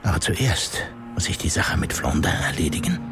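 An actor playing a scheming male politician, heard as a hushed, half-whispered inner monologue in a radio drama. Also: sits low, very animated, normal speed, faint music behind. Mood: alarmed.

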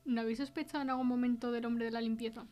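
A woman's voice: fairly high pitch, steady tone, racing, darkish tone, natural voice. A woman with a Spanish accent playing a composed host of a mystery podcast, interviewing guests. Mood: inquiring. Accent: Spain.

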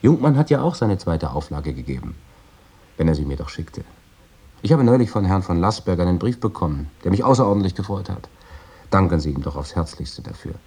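Radio drama role: a fond, courteous young man of letters, low and dark in tone. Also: animated, normal speed, breathy edge, full character voice. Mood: fond, chatty. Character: affectionate, chatty young literary man writing to motherly friend. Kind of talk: dramatic reading of 19th-century letters.

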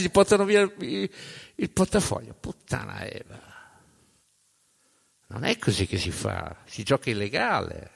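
A man's voice: medium pitch, vocal fry. Emphatic male storyteller in a reverberant hall. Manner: emphatic, deliberate pauses, repeats phrases for effect. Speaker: narrator and storyteller on stage. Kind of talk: dramatic spoken-word storytelling, live narrative theatre. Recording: reverberant hall live recording.

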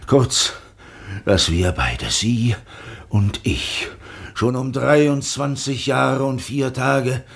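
An actor playing a world-weary, brooding sea captain in a literary radio play, halting and reflective. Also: male voice, mid-range voice, a bit bright, full character voice. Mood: brooding, haunted. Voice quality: husky, gravelly.

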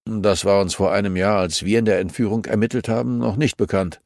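A man's voice: on the low side, fairly even intonation, a little fast, darkish tone, no room sound, studio quality, close to an everyday voice. A man voicing an eager, slightly defensive male police investigator in an audiobook, plain and factual.

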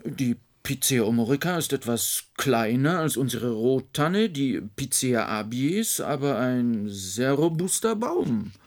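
An actor in a German radio play playing a knowledgeable botanist who explains things precisely, like a lecturer. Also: male voice, medium pitch, very animated, slow pace, gravelly, hardly any pauses, heavily acted. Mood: matter-of-fact, expert. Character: knowledgeable male botanical expert, a precise doctor.

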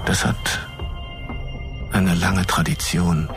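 An actor playing a grave, cryptic writer who speaks in pointed aphorisms in a radio drama. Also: male voice, low-pitched, fairly even intonation, slow pace. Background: music.

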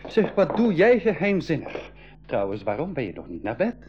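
A man's voice: fairly high pitch, huge pitch swings, moderate pace, music in the background. A man with a Flemish accent playing a cautious, sensible eighteen-year-old brother in a 1980s school radio play, acting and narrating theatrically. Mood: dismissive, sensible. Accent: Belgian Dutch (Flemish). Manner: theatrical, reasoning, storytelling delivery. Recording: dull lo-fi 1980s radio recording.

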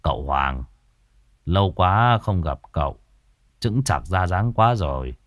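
A man's voice: low-pitched, lively intonation, slow pace, no echo, clean studio sound, voice pushed down. A man voicing a kindly older male stage actor in an audio story, familiar and welcoming. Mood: kindly, admiring.

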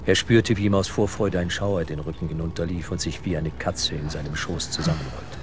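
A man narrating an audio drama in a measured, suspenseful, literary style. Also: sits low, fairly even intonation, noisy background.

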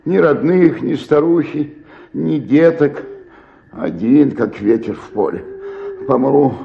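An actor playing a lonely, drunken comedian of sixty-eight in a theatrical radio play, agitated and lamenting. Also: male voice, mid-range voice, takes it slowly, gravelly, muffled recording. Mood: anguished, self-pitying. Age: older. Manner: agitated, lamenting, muttering to himself. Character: drunken, lonely, frightened 68-year-old comic stage actor. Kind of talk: theatrical radio drama acting.

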